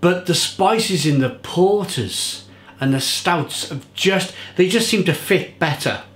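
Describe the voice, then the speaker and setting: A man's voice: sits high, expressive, slow pace, fairly bright tone, reverberant. A man in his thirties chatting to camera at home in his kitchen, reviewing a beer with enthusiasm. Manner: chatty, enthusiastic, restarts and fillers. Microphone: fixed camera at table.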